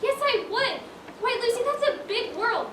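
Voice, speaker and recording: Girl acting a very high-voiced fussy widow shopkeeper. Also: lively intonation, moderate pace, a bit bright. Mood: grandly oratorical. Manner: prim, exclaiming, old-fashioned turns of phrase. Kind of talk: amateur stage acting, one-act school play. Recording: roomy stage, distant mic, clear noise.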